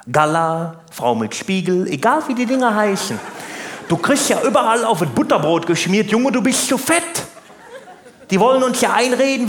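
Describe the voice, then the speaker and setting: A man performing stand-up as a chatty, naive, grumbling working-class family man, rambling and exaggerating in a folksy way. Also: sits high. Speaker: stand-up comedian. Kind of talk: stand-up comedy monologue to live audience. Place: stage in a live comedy venue. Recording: roomy live hall, faint background noise.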